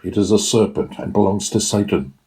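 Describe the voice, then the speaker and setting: A man's voice: fairly low pitch, a little fast, darkish tone, voice pushed up, lightly acted. A man voicing a small, devout boy from a religious sect, solemn and reciting. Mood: solemn, defiant.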